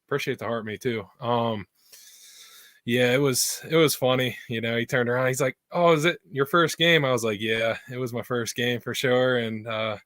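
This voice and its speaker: A man in his twenties from Pennsylvania joins a casual chat over a video call. His voice is bright and forceful, but he talks in a relaxed way, full of fillers. The sound is close and dry. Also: mid-range voice, moderate pace, dry recording. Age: 20s. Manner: laid-back, with fillers. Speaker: guest.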